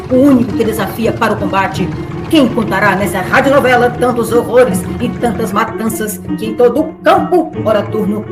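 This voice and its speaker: A cheeky, excitable storyteller played by a woman narrates a comic radio drama. She has a Brazilian accent, and her voice echoes in the room over clear music. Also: mid-range voice, close to an everyday voice. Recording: roomy echo, music behind.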